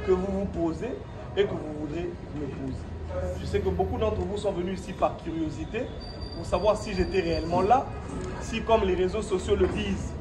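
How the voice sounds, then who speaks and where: High-pitched Congolese man, defiant and emphatic. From Democratic Republic of the Congo. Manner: defiant, emphatic, repeats phrases and asks rhetorical questions. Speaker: speaker at his own press conference. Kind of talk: press statement mixing French and Lingala, partly improvised. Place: echoey room at his own premises. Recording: roomy, distant microphone, noisy background.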